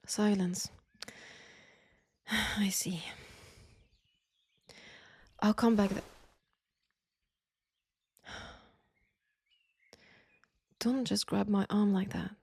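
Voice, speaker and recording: A woman with a French accent playing a caring but firm wartime army nurse in an intimate roleplay, speaking softly and breathily in a coaxing way. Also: mid-range voice, fairly even intonation, takes it slowly, pauses often, dry recording, heavily acted. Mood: firm, exasperated. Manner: soft, breathy, gently coaxing. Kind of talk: intimate roleplay audio acting.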